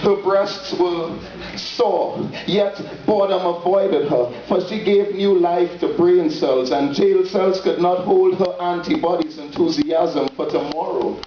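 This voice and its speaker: A man gives a dramatic spoken-word poetry reading, phrasing theatrically and solemnly with deliberate pauses. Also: high voice. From Trinidad and Tobago. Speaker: poet. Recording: dull lo-fi, roomy echo, distant, faint noise.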